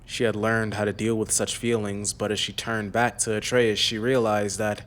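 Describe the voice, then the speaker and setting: A man narrating a scripted fantasy audiobook in a measured, serious way. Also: on the low side, little pitch movement, fairly bright tone, slight background noise.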